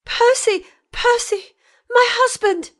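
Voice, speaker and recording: A woman's voice: very high voice, slow, fairly bright tone, voice pushed up, exaggerated voice. A woman voicing a desperate young noblewoman who cries out hysterically. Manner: shrieking, hysterical. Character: desperate young noblewoman, torn between hope and fear. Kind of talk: audiobook character voice.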